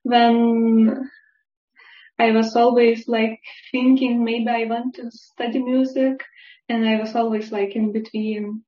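A young woman with a Lithuanian accent answers casually as an interview guest, thinking aloud. Her voice is fairly high and flat in melody. The recording is echoey. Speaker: interview guest, musician and scientist. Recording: dull, echoey room sound.

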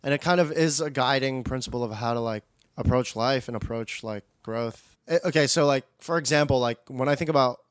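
A man's voice: medium pitch, fairly quick, clean tone, dry recording. Informal, slangy man chatting, dry sound. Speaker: podcast co-host. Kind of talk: casual chat about an animated show.

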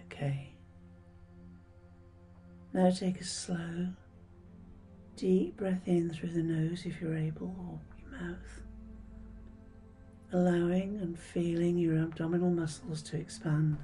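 A woman leading a scripted guided meditation in a soothing, hushed, breathy voice with gentle pauses. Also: lowish voice, flat delivery. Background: background music.

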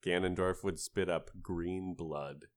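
A man's voice: deep voice, steady tone, slow pace, smooth. A man hosting a podcast, telling things in a casual, conversational storytelling way. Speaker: podcast host.

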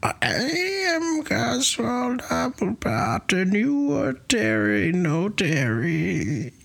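A man playing an eccentric, ominous herald from another dimension in a comedy podcast, with a slow, portentous, theatrical delivery. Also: sits high, wildly swooping pitch, creaky, a bit bright, breathy edge, pitched above the performer's own voice, exaggerated voice. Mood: ominous proclaiming.

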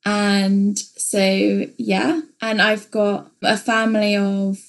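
A woman's voice: medium pitch, flat delivery, slow pace, vocal fry. A young woman from England, co-hosting a podcast in a casual, open, chatty way with many fillers. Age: young adult. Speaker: podcast co-host.

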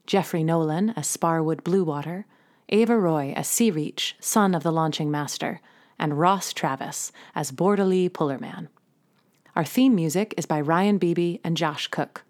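A woman presents an audio drama with scripted narration. Her voice sits a little lower than most women's, and she reads as the production's narrator. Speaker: podcast narrator reading closing credits.

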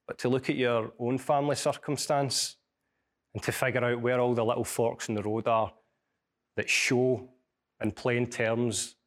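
A man with a strong Glasgow accent gives a university seminar, telling stories and reading a passage from his book. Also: mid-range voice. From Scotland. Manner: storytelling, measured, with small restarts. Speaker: lecturer and author.

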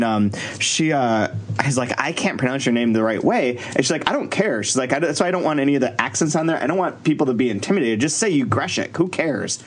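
A middle-aged American man telling casual anecdotes, acting out bits of dialogue as he goes. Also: medium pitch. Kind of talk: casual chat. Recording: clear background noise.

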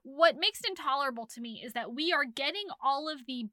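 High, bright-voiced woman chatting on a podcast. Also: lively intonation, fairly quick, dry recording, studio quality. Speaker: podcast host; romance writer. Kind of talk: casual podcast discussion.

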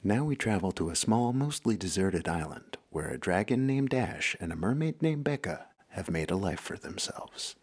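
A man's voice: low-pitched, sing-song, normal speed, few pauses, a little mellow, soft background music. A man playing the narrator of a fable-like audio drama, reading scripted storytelling lines.

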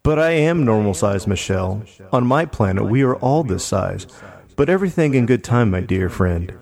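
A man voicing a calm, friendly, towering alien woman with measured, formal delivery. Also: fairly low pitch, gravelly. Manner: measured, formal story reading. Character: calm, friendly nine-foot alien woman, reassuring and wise.

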